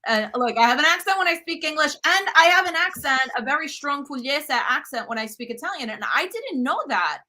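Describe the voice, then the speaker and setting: A woman's voice: high-pitched, lively intonation, a little fast, hardly any pauses, sharp tone. A woman, a guest telling stories animatedly over a video call, full of fillers and restarts. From New York, US. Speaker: guest, cooking show host. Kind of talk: casual video-call interview. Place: home room, indoors.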